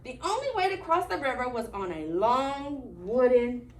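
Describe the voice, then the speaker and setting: High-pitched, lively woman reading a children's story. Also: normal speed. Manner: expressive, prompting listeners to fill in words. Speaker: story reader leading young children. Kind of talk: animated children's read-aloud with questions. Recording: echoey room, distant mic, faint noise.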